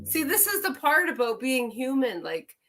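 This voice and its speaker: A woman with a raspy, hoarse voice from a cold, chatting slowly and chuckling while leading a drumming circle on a video call. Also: sits high, big pitch swings, bright, forceful tone, faint music behind. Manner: slow, chuckling, unhurried. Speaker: drumming circle song leader. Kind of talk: casual chat and hand-drum singing. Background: hand drum.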